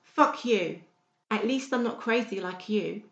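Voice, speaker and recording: A woman's voice: mid-range voice, a little slow, gravelly, fairly bright tone. A woman playing an aggressive, foul-mouthed, antagonistic patient in a dramatised audiobook, taunting and confrontational. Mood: angry, hostile. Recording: dull, roomy recording.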